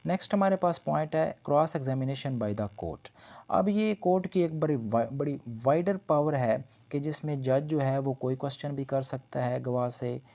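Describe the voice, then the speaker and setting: Guy teaching law, soft mellow tone, mid pitch, explains stuff and says it again another way. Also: a little slow, vocal fry. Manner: explanatory, repeats and rephrases points. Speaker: law lecturer. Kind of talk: lecture explaining legal points in Urdu mixed with English terms.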